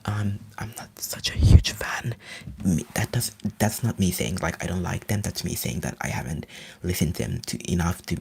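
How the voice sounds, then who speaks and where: A man from Finland, a native Finnish speaker, rambling eagerly in a soft whisper as an ASMR live streamer. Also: low-pitched, animated, normal speed, creaky.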